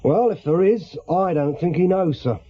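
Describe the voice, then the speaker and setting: Mild English sergeant, slightly muffled. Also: male voice, high-pitched, normal speed. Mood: measured. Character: easygoing, fair-minded police sergeant. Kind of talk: British radio drama acting.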